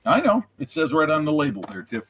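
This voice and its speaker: A man's voice: on the high side, wildly swooping pitch, a little fast, fairly bright tone, slightly rough, no room sound. A man hosting a casual live modelling stream, rambling and jokey, often restarting his sentences. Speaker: stream host and model builder.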